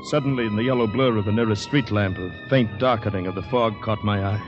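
An actor playing a panicky, polite, shell-shocked Canadian man who narrates his ordeal intensely and haltingly in a 1950s radio drama. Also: fairly low pitch, lightly acted. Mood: panicked, tense. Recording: dull vintage radio recording, music behind.

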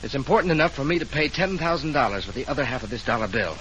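A man's voice: mid-range voice, racing, natural voice. An actor playing an earnest, anxious businessman, formal and intense, in a 1940s radio detective drama. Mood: urgent, grave. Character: earnest, anxious male businessman seeking help. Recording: noisy old radio transcription.

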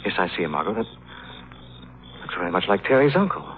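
An actor playing a calm, confident gentleman detective in an old radio drama, brisk and polished. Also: deep voice. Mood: assured. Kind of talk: 1930s radio drama acting. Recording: tinny old radio broadcast.

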